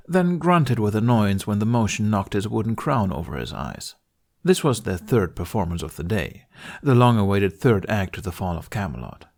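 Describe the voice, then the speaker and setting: A man reading a scripted story aloud as its narrator. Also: on the low side. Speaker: fan fiction podcast narrator.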